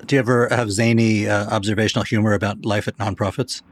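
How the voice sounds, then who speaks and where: A man's voice: fairly low pitch, little pitch movement, fast, no room sound, studio quality. A man with an American accent hosting a podcast in a conversational style. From Washington, D.C., United States. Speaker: podcast host.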